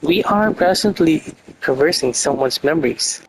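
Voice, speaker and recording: A man voicing a thoughtful, earnest young traveler hero in fan-read game dialogue, deliberate and analytical. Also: on the high side, animated. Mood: reasoning. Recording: faint noise, clicks on microphone.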